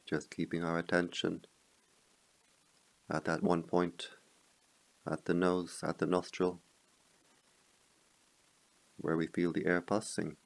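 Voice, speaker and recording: Very deep, gravelly man guiding meditation. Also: completely flat delivery, poor audio. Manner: slow, measured phrases with long pauses. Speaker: meditation teacher. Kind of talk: guided meditation.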